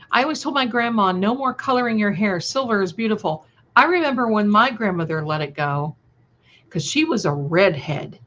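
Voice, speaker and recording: A slightly muffled, lo-fi livestream recording of a woman in her sixties. She chats at a slow, unhurried pace, casual and affectionate, talking straight to her viewers as the host. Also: fairly high pitch, a bit bright. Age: 60s. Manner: chatty, affectionate, addresses listeners with endearments. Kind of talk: casual live chat with viewers.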